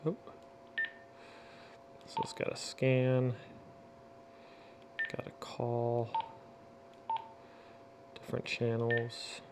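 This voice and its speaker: A man with a gravelly, creaky voice and a medium pitch talks casually through a product unboxing. He has a folksy, muttering way of thinking aloud while he works. There is faint noise behind him. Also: monotone, drawn-out words, pauses often. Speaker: unboxing video host.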